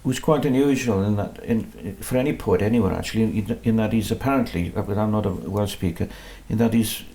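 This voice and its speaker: A man's voice: lowish voice, a little fast, vocal fry, dark tone. A man, a composer being interviewed in his music room, talking in a modest, hesitant way with many restarts. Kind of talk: relaxed conversational interview.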